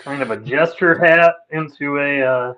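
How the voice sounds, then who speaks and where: A man appearing as a guest on a livestream, loud and upbeat, greeting the chat. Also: on the high side, measured pace. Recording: dull, slightly lo-fi sound.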